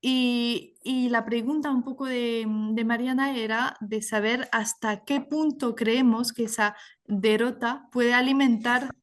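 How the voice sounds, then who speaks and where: A woman's voice: on the high side, steady tone, a little slow. A woman moderating a panel discussion, friendly and a bit hesitant, with many fillers.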